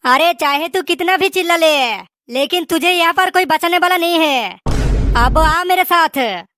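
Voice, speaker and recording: A man performs a defiant cartoon landlord in an extremely high, pitched-up voice. He is mouthy and stubborn, insisting on his point in exaggerated comic style. The recording is dry, with little room sound. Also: a little slow, bright, forceful tone, no echo, exaggerated voice. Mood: mocking.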